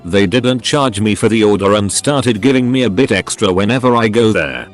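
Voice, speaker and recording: Low-voiced man reading online posts. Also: lively intonation, normal speed, soft background music. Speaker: narrator. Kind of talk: reading Reddit posts aloud.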